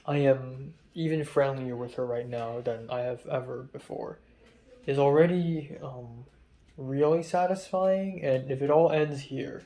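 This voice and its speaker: A young man with a Hong Kong English accent and a raspy, muffled voice, talking in a rambling, self-deprecating way in a confessional vlog, with many um fillers. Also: mid-range voice, darkish tone. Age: young adult. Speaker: vlogger.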